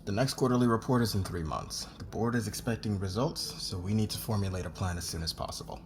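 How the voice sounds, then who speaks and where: A man's voice: lowish voice, noisy background, close to an everyday voice. A man playing an arrogant, demanding company boss who talks down to people in an ASMR roleplay. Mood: cold, commanding. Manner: condescending, commanding, deliberate.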